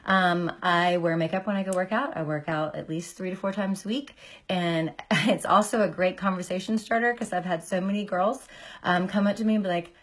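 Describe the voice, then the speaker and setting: Chatty woman with a sick, deeper voice. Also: fairly even intonation, fairly quick. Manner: chatty, filler-heavy, greets viewers by name. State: lower voice, recovering from illness. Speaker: makeup artist and beauty seller. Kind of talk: casual makeup tutorial livestream. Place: small indoor room. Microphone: phone camera at arm's length.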